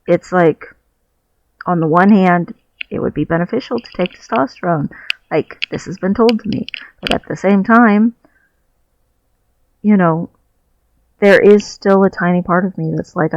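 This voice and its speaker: Guy vlogging from his bed, gravelly voice, candid and thoughtful, muffled lo-fi headset sound. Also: high-pitched, normal speed, pauses often. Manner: candid, reflective, searching for words. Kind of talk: personal video diary. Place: on a bed at home. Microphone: headset mic. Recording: dull, muffled lo-fi headset audio.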